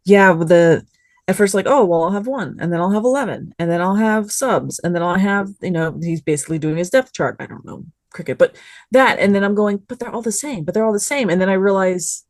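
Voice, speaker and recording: A woman's voice: medium pitch, a little fast, a little mellow, dry recording. A woman in casual review chat as a podcast panelist, acting out imagined remarks as she talks.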